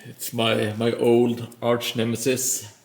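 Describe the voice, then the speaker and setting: A man's voice: medium pitch, completely flat delivery. A man with a Norwegian accent chatting casually on a live stream while he builds, rambling and poking fun at himself. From Norway. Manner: rambling and self-mocking, with frequent pauses.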